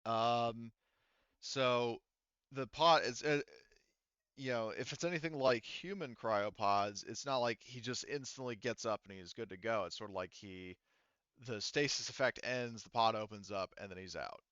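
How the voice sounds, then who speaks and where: A man running a tabletop roleplaying game as game master, explaining things in a halting, rambling way. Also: mid-range voice, fairly bright tone, clear, resonant tone, dry recording, lo-fi sound.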